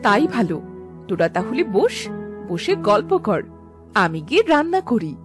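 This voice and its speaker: A woman's voice: mid-range voice, big pitch swings, normal speed, music in the background, close to an everyday voice. A woman playing a doting middle-aged mother who fusses over her children in a Bengali cartoon. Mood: fond, fussing.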